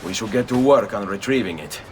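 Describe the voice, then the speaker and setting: Casting: male actor as calm Italian assassin, low register, measured and determined, game cutscene, faint noise behind. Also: close to an everyday voice. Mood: businesslike. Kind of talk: video game cutscene acting.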